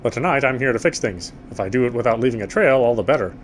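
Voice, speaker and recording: A guarded young man with a dry wit and a quiet soft spot, voiced by a man at an everyday middle pitch. He muses to himself in a wry, introspective way in this horror game, with a faint low rumble underneath. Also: animated, fast, lightly acted. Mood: reflective. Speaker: game protagonist. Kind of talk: first-person inner monologue and dialogue.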